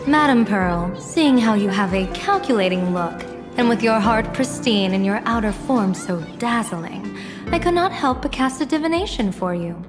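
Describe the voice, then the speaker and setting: A woman's voice: a little high, expressive, moderate pace, close to an everyday voice. Grandiose seer general, acted by a woman, echoing hall. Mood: playful, flattering. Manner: ceremonious, flowery, self-assured. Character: grandiose, playful female general and fortune-telling strategist. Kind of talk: scripted game voice acting. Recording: long reverb, background music.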